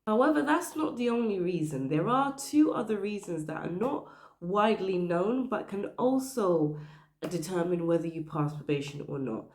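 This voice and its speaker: A woman giving career advice to the camera, her voice croaky while she recovers from the flu, talking earnestly and stressing her points. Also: mid-range voice, animated, moderate pace, a little mellow, echoey room. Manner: earnest, emphatic, stressing points with "okay?" and "you know". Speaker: career advice channel host. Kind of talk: direct-to-camera advice talk.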